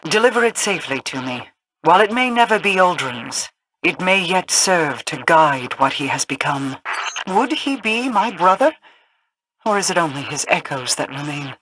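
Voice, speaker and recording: A woman voicing a regal, enigmatic queen in a video game, formal, solemn and deliberate. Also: on the low side, expressive, a bit bright, a little hiss or hum, rough recording. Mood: grave, wistful.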